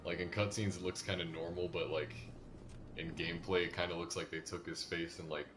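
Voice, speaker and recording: A man's voice: low voice, little pitch movement, brisk, noisy background. A man doing casual live commentary as he streams a video game. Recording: game music behind the voice. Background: video game music.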